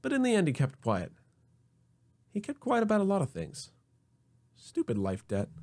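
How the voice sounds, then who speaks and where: Animated male storyteller with wry delivery. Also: high-pitched, moderate pace. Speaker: narrator. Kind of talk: scripted comedic story narration.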